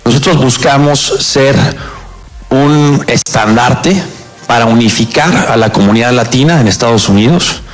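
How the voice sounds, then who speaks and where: A man's voice: medium pitch, measured pace. A man giving an interview statement as a company representative. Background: background music.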